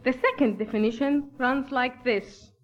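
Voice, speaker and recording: A woman from Bethlehem whose first language is Arabic, speaking English with an Arabic accent, giving an earnest, measured formal address at a church gathering. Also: sits high, dull audio. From Palestine, Bethlehem.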